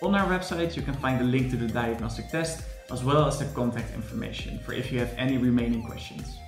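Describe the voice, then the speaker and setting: A man's voice: medium pitch, quick pace. Young man tutor in an echoey room. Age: young adult. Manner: earnest, explanatory, with frequent "furthermore" and restarts. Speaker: course supervisor and tutor. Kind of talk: promotional talk to camera. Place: room with large windows, indoors. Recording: echoey room with faint music.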